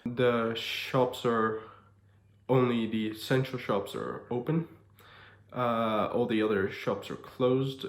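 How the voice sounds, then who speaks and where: A young man in his twenties with a lowish voice, talking slowly in a relaxed, wry way. The sound is roomy and echoey. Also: creaky. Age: 20s. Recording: echoey room.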